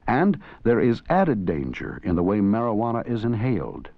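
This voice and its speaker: A man's voice: on the low side, wildly swooping pitch, moderate pace, no echo. A man narrating an educational film from a script, in an earnest, didactic way. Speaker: documentary narrator and presenter. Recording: dull 1980s educational film soundtrack.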